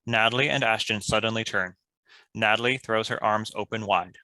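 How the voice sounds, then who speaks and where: A man's voice: on the low side, fairly even intonation, moderate pace, sharp tone, no room sound, rough recording. A man reading stage directions as scripted narration for a table read.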